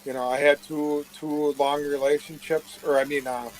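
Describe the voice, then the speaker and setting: A man's voice: fairly high pitch, little pitch movement, normal speed, a little hiss or hum, poor audio. A man answering questions in a casual live chat, rambling and plain-spoken. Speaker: interview guest.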